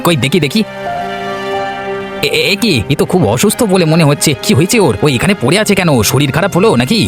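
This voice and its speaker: A man's voice: sits high, animated, a little fast. A man voicing a flustered village uncle in a cartoon, stammering and hesitant but obliging. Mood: startled, concerned. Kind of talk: Bengali cartoon voice acting. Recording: music behind the voice.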